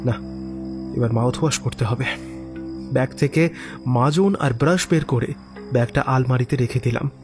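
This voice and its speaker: A man narrating a first-person horror story in an expressive, theatrical way, with musing asides and exclamations. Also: mid-range voice, moderate pace, pauses often. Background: background music.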